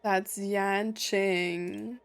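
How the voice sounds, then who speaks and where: A woman's voice: mid-range voice, fairly even intonation, very slow, creaky. A woman casually commentating on a game's story, reacting in brief asides. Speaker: game streamer reacting to story scenes.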